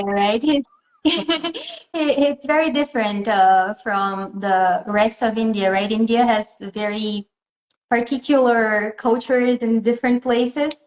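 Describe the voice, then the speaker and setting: Slow-talking woman, echoey and muffled. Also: a little high. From Southeast Brazil. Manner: hesitant, pauses often to find words. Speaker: interview guest. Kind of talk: casual interview answers.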